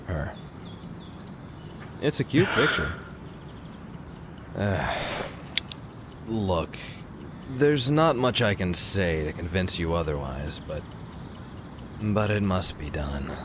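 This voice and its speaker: A man's voice: deep voice, animated, slow, pauses often. A man acting in a radio play as a weary, reluctant gang member, speaking hesitantly and conversationally. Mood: reluctant, grave. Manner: hesitant, apologetic, throat-clearing, conversational. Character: weary, reluctant crime-gang family man giving orders. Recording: clear ambient noise, ticking clock.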